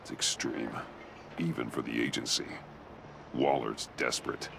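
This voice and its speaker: A man voicing a grim, brooding masked crime fighter in a video game, muttering tersely in a gravelly growl. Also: a bass voice, fairly even intonation, moderate pace, noisy background, exaggerated voice. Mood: focused, wary.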